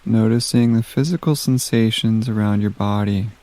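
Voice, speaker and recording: A man leading a guided meditation in a gentle, soothing manner, giving soft instructions with long pauses. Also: lowish voice, fairly even intonation.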